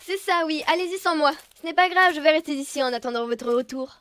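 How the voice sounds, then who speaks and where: A woman's voice: high-pitched, quick pace, noisy background, lightly acted. A woman voicing a fussy young noblewoman who chatters and panics constantly. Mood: anxious. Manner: chattering, whiny, easily panicked.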